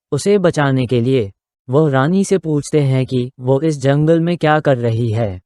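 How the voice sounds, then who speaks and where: A man's voice: medium pitch, darkish tone, clear, resonant tone, dry recording, clean studio sound. A man narrating a scripted moral story for a children's cartoon.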